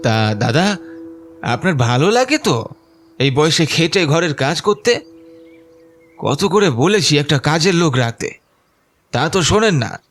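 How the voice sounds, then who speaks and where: A man's voice: a little high, sing-song, a little slow, pauses often, a bit bright. An actor playing a greedy, resentful village man in an audio horror story, complaining and wheedling in a folksy way. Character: grumbling, greedy, resentful village man, fawning on his elder.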